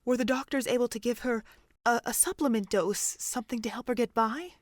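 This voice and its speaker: A woman playing a calm, motherly pony doctor in an audio drama, gentle and deliberate. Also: on the high side, no room sound, clean studio sound, close to an everyday voice. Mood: concerned, sad.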